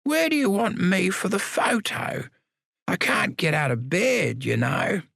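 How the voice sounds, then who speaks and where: A toothless old man in his nineties, voiced by a man reading a story, speaks in a shrill, piping voice. He is stubborn and cantankerous, and his pitch jumps high and swings widely. The sound is close and dry. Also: wildly swooping pitch, a little slow, gravelly, a bit bright, dry recording, full character voice.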